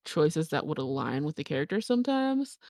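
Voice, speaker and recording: A woman's voice: low-pitched, big pitch swings, fairly quick, darkish tone, dry recording, studio quality. A woman asking questions in a casual, conversational way as a panel interviewer. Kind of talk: casual chat.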